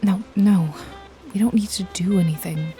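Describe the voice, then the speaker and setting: A woman playing a mellow, sardonic noir detective. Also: medium pitch, normal speed, natural voice. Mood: watchful, matter-of-fact. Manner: sardonic, foul-mouthed, nonchalant, teasing. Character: wry, jaded female detective and hired killer, casually menacing. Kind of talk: noir ASMR roleplay, intimate one-sided dialogue to listener. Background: jazzy music and rain effects.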